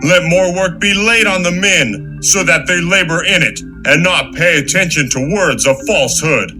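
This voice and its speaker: A man's voice: high voice, normal speed, lightly acted. A man playing a haughty, harsh Pharaoh in a scripture audio drama, imperious and scornful. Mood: angry, commanding. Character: haughty, harsh Pharaoh, tyrant king of Egypt. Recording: music under the voice.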